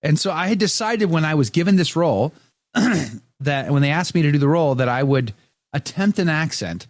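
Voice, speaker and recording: A man's voice: a little high, expressive, normal speed, no echo, studio quality. A middle-aged man hosting a podcast, chatting casually and joking while he reads viewer comments aloud. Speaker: podcast host. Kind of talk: casual chat.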